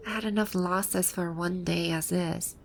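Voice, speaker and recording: A woman playing a proud, teasing video game villainess come to life, speaking softly in an intimate ASMR roleplay. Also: fairly low pitch, steady tone, a little slow, a little hiss or hum, low-quality recording. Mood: smug, guarded. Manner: smug, teasing, soft-spoken with breathy asides. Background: birdsong and outdoor ambience sound effects.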